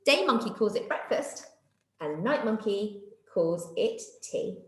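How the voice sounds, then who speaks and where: A woman's voice: mid-range voice, expressive, slow pace, a bit bright. A woman reading stories expressively to young children as a schoolteacher would, addressing them directly. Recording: echoey room.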